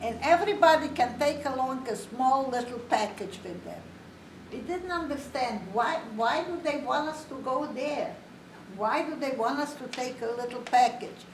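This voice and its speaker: An older woman from Romania, giving a slow, plain, matter-of-fact spoken testimony to an audience. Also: high-pitched, animated. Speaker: Holocaust survivor speaker. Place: community hall. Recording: roomy, distant, faint hiss.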